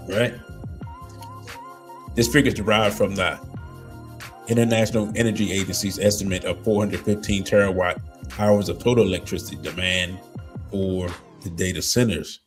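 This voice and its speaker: Male show host, low voice, slow and halting, slightly hoarse, faint music behind. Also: steady tone. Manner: slow, halting, with many ums and pauses. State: losing his voice. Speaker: livestream host. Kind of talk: casual commentary reading news aloud.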